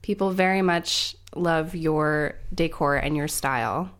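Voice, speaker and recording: A woman's voice: low-pitched, unhurried. A woman in her late twenties co-hosting a podcast, chatty and giggly, with many fillers. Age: 20s. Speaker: podcast co-host. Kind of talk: casual podcast chat.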